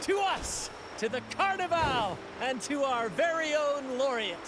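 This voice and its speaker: A man's voice: very high voice, animated, unhurried, very noisy. An actor playing a boisterous, theatrical young male carnival performer in a video game, declaiming grandly and rousingly. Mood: rousing, jubilant.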